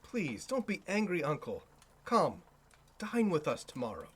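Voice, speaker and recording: Guy acting as good-hearted nephew in radio drama, sincere and pleading, slightly high voice. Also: wildly swooping pitch, unhurried, slightly rough, heavily acted. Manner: earnest, pleading, eloquent. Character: earnest, kindhearted nephew, good-humored gentleman.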